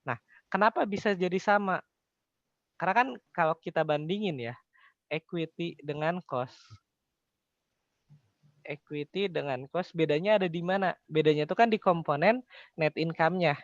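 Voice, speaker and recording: Echoey, higher-pitched young male tutor. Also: normal speed, long pauses, highs cut off. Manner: poses questions then answers them, colloquial. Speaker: accounting tutor. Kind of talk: informal explanatory tutoring.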